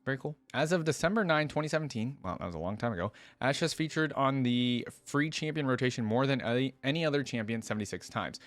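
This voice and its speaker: A man's voice: medium pitch, lively intonation, quick pace, hardly any pauses, fairly bright tone, dry recording, soft background music. A man hosting a reaction video, reading lore aloud in an informal way and adding his own casual commentary. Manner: informal, interjects own thoughts while reading.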